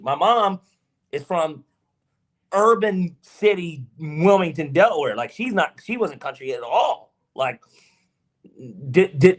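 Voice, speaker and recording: An emphatic Southern man chatting on a sports podcast, his fairly high voice swinging up and down in pitch. He argues his points with energy and drops the odd curse. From Georgia, US South. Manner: emphatic, animated, swears freely. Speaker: podcast co-host. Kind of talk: casual sports podcast chat.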